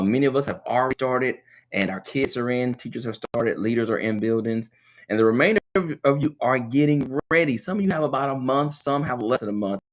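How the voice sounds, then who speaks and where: A man with a raspy, sinus-hoarse voice hosts an education webcast, talking straight to viewers and stretching out key words for emphasis. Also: mid-range voice. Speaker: education webcast host and consultant. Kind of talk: casual webcast talk.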